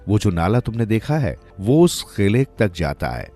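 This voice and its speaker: A man's voice: fairly low pitch, sing-song, moderate pace, warm tone, soft background music. A man voicing a weary, poor old village woman with lost magic in a storybook tale. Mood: weary, sorrowful. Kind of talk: storybook character dialogue.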